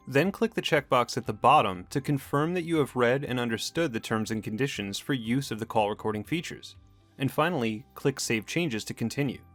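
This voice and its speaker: Male narrator, mid-pitched, for software tutorial; measured, matter-of-fact scripted read over a music bed. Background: background music.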